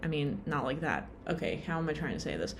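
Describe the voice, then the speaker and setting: Low-voiced woman rambling casually. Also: big pitch swings, fairly quick, vocal fry, a little hiss or hum. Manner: rambling, lots of "like" and "um", self-deprecating. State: tired. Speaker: vlogger.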